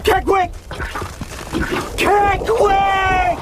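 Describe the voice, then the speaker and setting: An actor playing a proper young English solicitor who is rattled and agitated, in a radio drama. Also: male voice, extremely high pitch, flat delivery, very slow, a bit bright, exaggerated voice. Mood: desperate calling. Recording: noisy outdoor sound-effects ambience.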